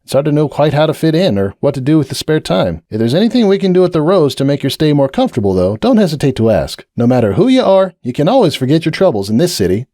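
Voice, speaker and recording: A suave stallion bartender welcomes guests in a smooth, showy way, as a man puts him on. The voice sits well above the reader's usual pitch and bounces with lively inflection. Dark, mellow tone in a dry, close reading. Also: fast, few pauses, no room sound. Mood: welcoming. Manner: smooth and welcoming, a touch grand.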